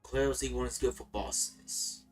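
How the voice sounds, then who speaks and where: A man's voice: medium pitch, steady tone, a little slow. A man from the United States casually commenting while streaming a game, laid-back and rambling, often repeating words. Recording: streamer mic a bit distant with game music.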